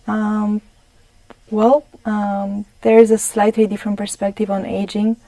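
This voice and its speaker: Young woman, Romanian accent, guest on a community TV show; even, mellow delivery, halting and careful. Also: mid-range voice, creaky, dry recording, low-quality recording. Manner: careful, many ums and restarts. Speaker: studio guest. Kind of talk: studio interview. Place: TV studio.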